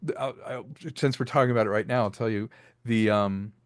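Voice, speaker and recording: A man's voice: lowish voice, steady tone, brisk, clean tone, dry recording, studio quality. A man being interviewed, explaining his creative work in a casual, storytelling way. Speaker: interview guest, filmmaker and audio drama creator.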